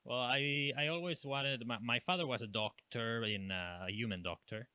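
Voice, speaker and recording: A man from Spain with a Spanish accent, a veterinarian answering interview questions in a halting way, full of fillers and restarts. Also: medium pitch, bright, forceful tone, clean tone, dry recording. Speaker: veterinarian guest. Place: equine hospital.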